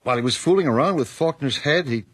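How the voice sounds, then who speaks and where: A man's voice: medium pitch, animated, fast, no echo, natural voice. An actor playing a grim, troubled middle-aged policeman in a 1980s horror radio play, intense and dramatic. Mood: tense, insistent. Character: grim, troubled policeman nearing early retirement.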